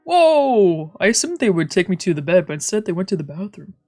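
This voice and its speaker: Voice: medium pitch, big pitch swings, a little fast, a little mellow. Streamer narrating a visual novel over music. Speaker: streamer, let's player. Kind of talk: read-aloud narration of visual novel with casual asides.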